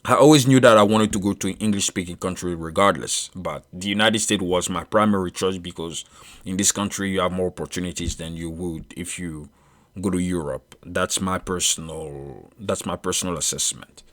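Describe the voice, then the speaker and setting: A man in his thirties from Ivory Coast, French his first language, speaking in an Ivorian French accent, giving a measured solo monologue with frequent fillers and restarts. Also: sits low, a bit bright. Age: 30s. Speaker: commentator.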